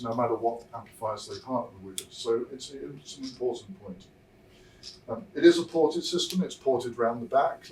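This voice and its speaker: A man giving an informal product presentation as a designer, explaining in a measured way and pausing mid-thought. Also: medium pitch. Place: demo room at a hi-fi show, indoors. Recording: roomy, distant mic, noisy show room.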